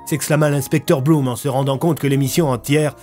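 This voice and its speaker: A man's voice: mid-range voice, fairly even intonation, fairly quick, darkish tone, faint music behind. A man narrating a scripted audio fiction story.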